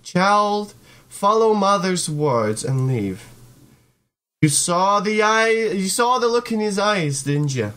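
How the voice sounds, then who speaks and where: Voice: mid-range voice, lively intonation, slow pace, a bit bright. A performer voicing a domineering, possessive mother who belittles her child in a visual novel. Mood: stern, warning.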